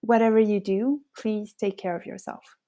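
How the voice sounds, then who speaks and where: A woman in her thirties from France, a native French speaker with a French accent, hosting a podcast with measured, scripted personal narration. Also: medium pitch, steady tone. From France, Paris suburbs. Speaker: podcast host.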